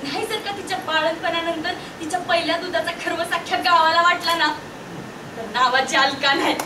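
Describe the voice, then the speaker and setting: A woman's voice: extremely high pitch, fairly even intonation, fairly quick, noisy background. A woman acting as a fierce, devoted village woman in a stage drama, speaking emphatically with a rural Marathi accent. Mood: defiant, protective. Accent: rural Maharashtrian Marathi. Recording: echoey room from far off, music behind.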